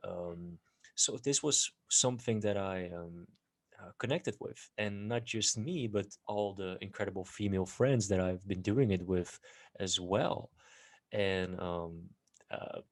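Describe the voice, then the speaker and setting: A man with a Dutch accent hosting a casual podcast interview, speaking hesitantly with lots of fillers and restarts. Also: fairly low pitch. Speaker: podcast host.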